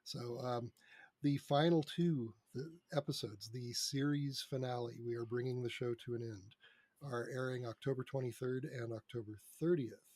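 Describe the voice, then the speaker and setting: Husky guy chatting as a podcast guest, close dry sound. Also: medium pitch, moderate pace, darkish tone, no echo. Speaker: interview guest, radio drama producer. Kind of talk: casual interview storytelling.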